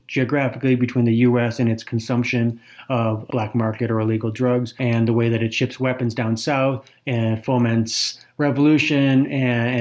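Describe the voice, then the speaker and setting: A man's voice: mid-range voice, a little mellow. A man co-hosting a podcast, explaining fluently in casual talk with some fillers. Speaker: podcast co-host.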